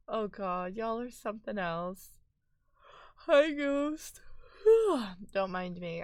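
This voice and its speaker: A woman with a stuffy, congested voice that sounds worn out, chatting unhurriedly on a live stream. She greets and thanks viewers in a relaxed, chatty way. Her voice sits close and dry, with no room around it. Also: medium pitch, smooth, no echo. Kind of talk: casual live-stream chat.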